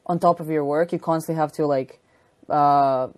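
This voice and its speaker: A woman's voice: sits low, fairly even intonation, moderate pace, darkish tone, no echo. A young woman with a Croatian accent, a college squash player chatting as an interview guest, filling her talk with "like" and laughing easily. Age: young adult. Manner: chatty, heavy filler use of "like", laughs easily. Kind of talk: casual interview chat.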